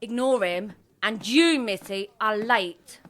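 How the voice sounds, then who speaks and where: An actress playing a brassy, sharp-tongued Victorian tavern madam in a stage drama, bossy and brash. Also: female voice, medium pitch, takes it slowly. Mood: scolding. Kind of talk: theatrical stage drama acting.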